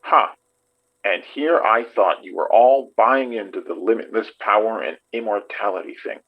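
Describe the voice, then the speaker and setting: A man's voice: on the low side, moderate pace, faint music behind. A skeptical detective, speaks with dry sarcasm in a horror audio drama. His voice comes through a narrow radio filter, thin and phone-like. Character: skeptical, sardonic man detective. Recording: tinny, phone-like radio sound.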